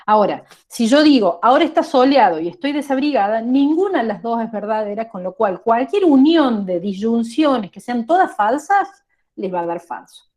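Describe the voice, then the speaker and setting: A woman's voice: on the high side, darkish tone. A woman with an Argentine accent lecturing a class, explaining step by step and often checking whether students follow. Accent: Argentine Spanish. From La Rioja, Argentina. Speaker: teacher.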